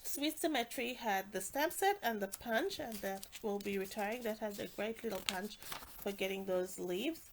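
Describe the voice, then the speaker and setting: A woman's voice: medium pitch, moderate pace, noisy background. A woman with a congested, nasal voice, casually walking through craft products with many fillers and restarts. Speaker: stamping demonstrator.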